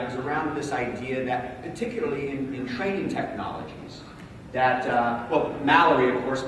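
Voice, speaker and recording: A man with a big voice giving a conference talk, speaking casually with many fillers and calling on the audience for answers. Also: mid-range voice. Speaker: conference presenter. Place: large conference hall. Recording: echoey hall, distant mic, room noise.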